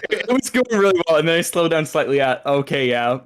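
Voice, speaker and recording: Male voice actor, highish pitch, lively intonation, casual chat. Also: fast, clear, resonant tone. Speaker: voice actor at table read.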